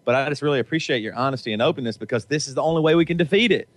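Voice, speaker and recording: A man talks in an earnest, preachy way, tripping over and repeating his words. His voice sits in a middle pitch, recorded close and dry. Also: very fast, no room sound. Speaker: podcast co-host. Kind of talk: casual chat.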